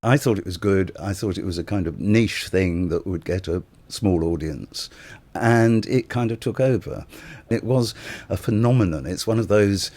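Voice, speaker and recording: Deep voice guy giving his thoughts in an interview, conversational. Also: moderate pace, a little mellow. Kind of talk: reflective interview comment.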